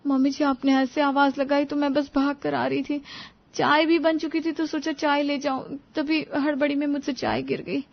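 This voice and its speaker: Woman as a high-voiced, put-upon daughter-in-law. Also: level tone, moderate pace, vocal fry, lo-fi sound. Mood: apologetic. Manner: aggrieved, muttering. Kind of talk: Hindi family story dramatization.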